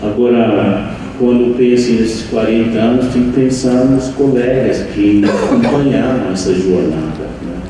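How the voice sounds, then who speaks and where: An older man who learned Portuguese as an adult, a meditation teacher giving a slow, reflective anniversary speech to an audience, with frequent pauses and restarts. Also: mid-range voice. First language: not Portuguese. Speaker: meditation teacher and center leader. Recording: echoey room, distant mic, faint noise.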